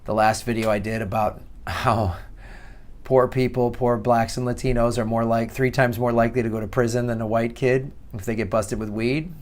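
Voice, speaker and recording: A man's voice: mid-range voice, steady tone, normal speed. A man with a Midwestern accent giving a casual, sarcastic commentary on history as he reads from an article. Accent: Midwestern American. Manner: chatty, sarcastic asides with laughs. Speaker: comedian and political commentator. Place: outdoors in Hawaii.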